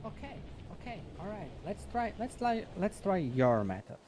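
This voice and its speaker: A man's voice: sits high, very animated, moderate pace, noisy background. A man chatting casually in character as a role-play game player, often repeating words and phrases.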